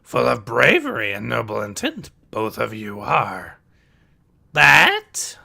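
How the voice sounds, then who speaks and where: A small, ancient alien sage played by a man teaches with solemn patience in a comic-book read-aloud. The voice is croaky and gravelly, the delivery slow, with backwards phrasing, thoughtful hums and wide swings in pitch. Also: huge pitch swings, pushed and bright, heavily acted. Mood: solemn, teacherly. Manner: inverted word order, deliberate pauses, musing hums.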